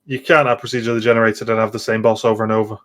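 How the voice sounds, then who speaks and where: A man's voice: fairly low pitch, little pitch movement, rapid-fire. A man co-hosting a podcast, chatting casually about games and repeating words as he interjects. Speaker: podcast co-host.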